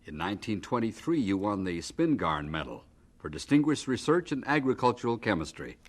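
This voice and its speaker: Radio drama narrator, lowish voice, lively melody, dignified and admiring. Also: male voice, brisk. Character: dignified, admiring male narrator questioning an old professor. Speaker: narrator and interviewer.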